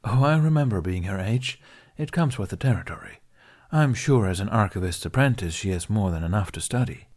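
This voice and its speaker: A man voicing a kindly, seasoned male warrior monk who speaks in a polite, gentle, measured way in an audiobook. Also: on the low side, expressive. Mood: friendly.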